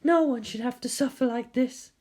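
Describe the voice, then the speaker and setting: A narrator voicing a gentle female nurse, soft, hushed and full of pity as she soothes a patient. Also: medium pitch, moderate pace, voice pushed up, close to an everyday voice. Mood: tender pity.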